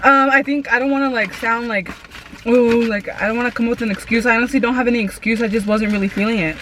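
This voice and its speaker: A woman's voice: fairly high pitch, fairly even intonation, fast, noisy background. A young woman chatting casually to viewers as a vlog co-host, in an informal, conversational way. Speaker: vlogger, channel co-host.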